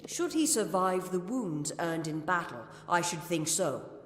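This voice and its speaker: A woman playing a stern, formal gnome Templar commander in a fantasy game, speaking with measured authority. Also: lowish voice, expressive. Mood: stern, businesslike. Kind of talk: scripted fantasy game dialogue. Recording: echoey reverberant hall.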